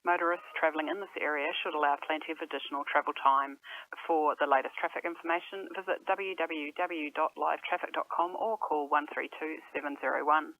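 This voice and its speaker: A woman's voice: deep voice, steady tone, brisk. A woman with an Australian accent giving a formal traffic statement as a police spokesperson, over the phone. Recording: tinny phone line.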